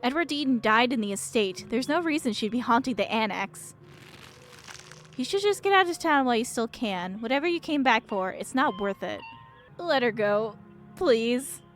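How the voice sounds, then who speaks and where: A woman's voice: on the high side, lively intonation, normal speed, soft background music, natural voice. A woman voicing a guarded, snappish young woman who is frightened and curt, in a visual novel. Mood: defensive, pleading.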